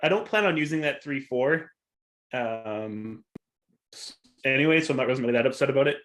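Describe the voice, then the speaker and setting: A man's voice: mid-range voice, animated, moderate pace, a bit bright. A man chatting casually as co-host of a car show, telling stories in an informal, chuckling way. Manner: chuckles through his talk. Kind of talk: casual chat and storytelling.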